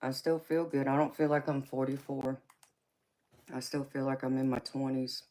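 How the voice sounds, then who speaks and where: A woman's voice: a bass voice, little pitch movement, normal speed, warm tone, a little hiss or hum, rough recording. A woman in her forties hosting a live stream, chatting casually with viewers and calling them baby, honey and sweetheart. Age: 40s. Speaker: tarot reader and livestream host.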